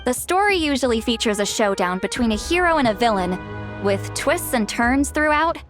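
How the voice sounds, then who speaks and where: A woman playing a gentle, bookish young storyteller in a video game, thoughtful and articulate. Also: a little high, lively intonation, moderate pace, natural voice. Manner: articulate, explanatory. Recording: music playing behind.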